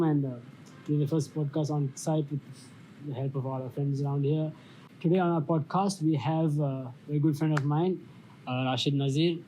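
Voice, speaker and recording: A young man from Sri Lanka hosting a casual podcast interview, relaxed and hesitant, with frequent ums. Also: a little high, slight background noise, rough recording. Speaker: podcast host.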